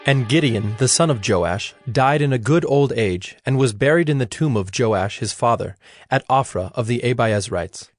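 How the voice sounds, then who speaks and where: Male narrator for dramatized scripture, medium pitch, measured and solemn, light music underneath. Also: lively intonation, dry recording.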